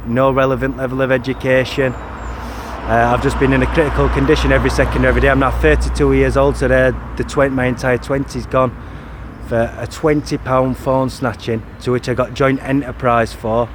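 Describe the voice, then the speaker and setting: A man, talking to camera outdoors by a street, repeating and correcting himself insistently. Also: medium pitch. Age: 30s. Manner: repetitive, restarting, insistent. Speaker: vlogger. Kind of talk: first-person video to camera. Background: traffic noise.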